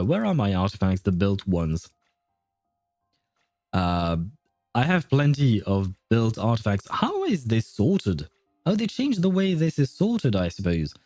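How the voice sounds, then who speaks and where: A man's voice: lowish voice, a little mellow, no echo. A man livestreaming a game, chatting casually and thinking aloud in a hesitant, chuckly way. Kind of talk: casual livestream chat and commentary.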